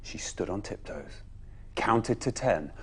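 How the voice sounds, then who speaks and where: A man reading a children's bedtime story expressively, with dramatic pauses and emphatic phrasing. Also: on the low side, animated, fairly bright tone, slight background noise.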